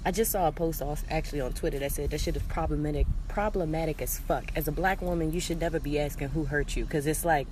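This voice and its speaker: Low-pitched young woman telling stories candidly. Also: fast, hardly any pauses, a little mellow, slight background noise. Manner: candid, slangy, emphatic with repeated phrases. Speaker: storytelling vlogger. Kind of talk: casual chatty personal storytime.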